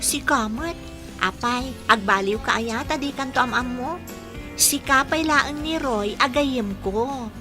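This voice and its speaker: A woman's voice: sits high, measured pace, music in the background. A woman playing a cheerful, hardworking young student in an audio drama, playful and polite in manner. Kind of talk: Ilocano audio drama acting.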